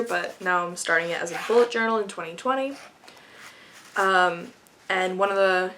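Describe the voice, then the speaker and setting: A woman's voice: mid-range voice, a bit bright, a little hiss or hum. A young woman chatting casually to camera, rambling with frequent laughs. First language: English. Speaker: vlogger.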